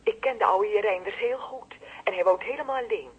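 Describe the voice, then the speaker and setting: A woman's voice: mid-range voice, a little fast, breathy edge. An actress playing a watchful, deferential female neighbour in a radio play, hesitant yet insistent, with a Netherlandic Dutch accent, heard through a telephone filter. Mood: worried, insistent. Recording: muffled, band-limited telephone line.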